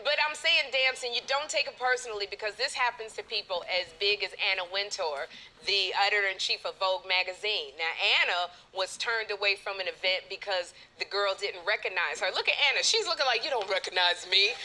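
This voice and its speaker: A woman hosting a studio talk show, gossiping playfully to a live audience and jumping up in pitch for emphasis. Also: a little fast, hardly any pauses, slight background noise. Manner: animated, playful gossip, jumps up high for emphasis.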